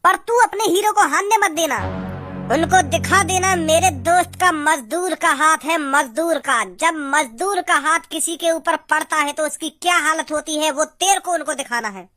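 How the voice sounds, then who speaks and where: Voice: extremely high pitch, normal speed, pushed and bright, soft background music. A performer voicing a swaggering, dramatic village boy in a comic cartoon. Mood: angry threatening. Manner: blustering, dramatic. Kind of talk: comic Hindi cartoon dialogue.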